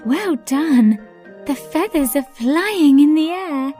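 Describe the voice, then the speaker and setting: A sweet, polite young girl acted by a woman in a children's storybook cartoon, grateful in manner. The voice is high and mellow, unhurried, with faint music behind. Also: expressive. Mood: cheerful.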